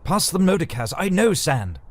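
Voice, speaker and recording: A man's voice: high-pitched, big pitch swings, normal speed, voice pushed up. A man voicing a stern, disciplined half-elven dragonrider commander in a fantasy audio story, giving orders in a raised voice. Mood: stern restraint. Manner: commanding, raised over the wind. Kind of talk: fantasy audio drama character. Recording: music behind the voice. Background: music.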